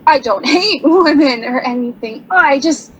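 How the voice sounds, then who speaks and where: A woman's voice: high-pitched, expressive, moderate pace, a little hiss or hum, poor audio. An actress playing a shy young woman sign designer who talks nervously, giggles and apologizes. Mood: earnest. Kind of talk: stage radio drama acting.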